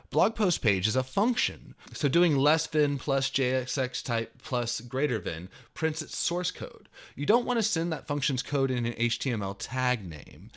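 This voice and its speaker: Expressive man presenting a programming tutorial. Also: medium pitch. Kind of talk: dramatic reading of article mixed with casual screencast walkthrough.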